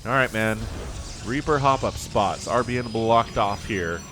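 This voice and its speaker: A man's voice: fairly low pitch, animated, unhurried, background noise. A young man with a sniffly cold, giving chatty solo commentary on a strategy game and wandering off on jokey tangents. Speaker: StarCraft shoutcaster.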